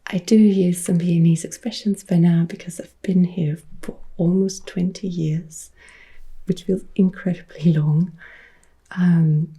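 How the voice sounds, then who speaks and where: A woman in her thirties from Upper Austria with an Austrian German accent, softly whispering and quietly answering viewer questions as an ASMR host. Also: lowish voice, steady tone, measured pace, husky. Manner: soft whispering, mixed with quiet speech. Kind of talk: ASMR Q&A chat. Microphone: close-up sensitive ASMR mic.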